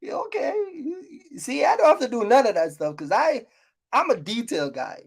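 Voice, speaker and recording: Woman, fairly high voice, casual rant, blunt, scolding, emphatic repetition, vlog host. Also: big pitch swings, moderate pace, creaky, darkish tone, husky. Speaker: YouTube host giving trucking business advice. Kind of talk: casual unscripted rant to viewers.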